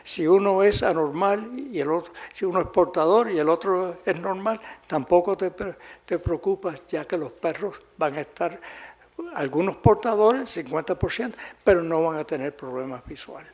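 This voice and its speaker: A man's voice: high voice, normal speed, darkish tone. A man, a Spanish speaker, giving a plain explanatory conference talk with self-corrections. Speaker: researcher speaker. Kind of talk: conference lecture.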